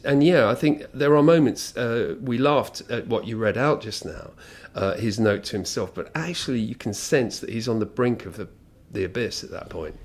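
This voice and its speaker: A man's voice: mid-range voice, moderate pace. A British man giving commentary in reflective, conversational speech.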